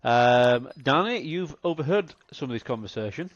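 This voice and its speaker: A man running a tabletop game as game master, narrating in a relaxed way with lots of fillers. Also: medium pitch, normal speed, dry recording, lo-fi sound.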